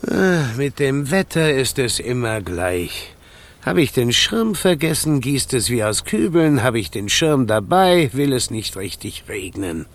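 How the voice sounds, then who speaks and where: A sly, grumbling rogue in a children's radio play. He talks slowly with a very lively melody, full of theatrical mutters and self-pitying exclamations. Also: mid-range voice. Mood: amused, grumbling.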